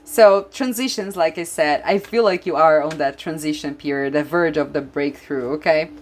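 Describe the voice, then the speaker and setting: Excitable Brazilian woman reading tarot. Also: deep voice, normal speed. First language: Portuguese. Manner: excitable, laughs often, restarts sentences. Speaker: tarot reader.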